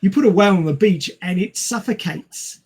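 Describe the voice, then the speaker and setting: A man's voice: sits high, a little fast, a little mellow, slightly rough. A man co-hosting a podcast, explaining science informally in a didactic manner. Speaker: podcast co-host.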